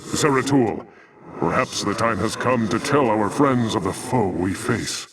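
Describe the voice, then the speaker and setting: A man's voice: fairly low pitch, measured pace, far from the mic. A man voicing a noble alien warrior leader in a video game, solemn and heroic, with grand formal speech. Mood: grateful, rousing. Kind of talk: theatrical video game acting.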